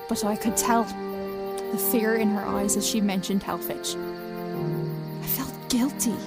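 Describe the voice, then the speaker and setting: A woman's voice: medium pitch, steady tone, unhurried, over loud music, natural voice. A woman playing a sentimental, teasing young best friend in an audio drama. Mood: reflective narration. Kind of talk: audio drama acting with first-person narration.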